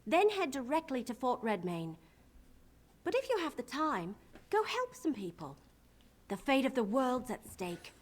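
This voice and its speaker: A plucky young elf heroine in a fantasy game. Her voice sits high and swoops with lively intonation, informal and wry. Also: unhurried, heavily acted. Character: spunky, plucky young female elf adventurer. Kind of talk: video game character acting.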